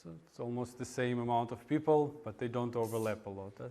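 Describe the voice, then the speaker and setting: A man from Russia with a Russian accent giving an informal technical talk at a meetup, in a halting, hesitant way with frequent pauses. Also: mid-range voice, dark tone. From Russia (Moscow). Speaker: conference speaker, web developer. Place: indoor meetup room in front of an audience.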